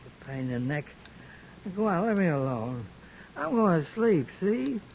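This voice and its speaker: A man's voice: high-pitched, very animated, normal speed, gravelly, full character voice, poor audio. A drunken grumbling old father. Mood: groggy, grumbling. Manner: groggy, slurred, grumbling. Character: drunken surly old father, slurring, bitter. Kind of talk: 1940s radio acting.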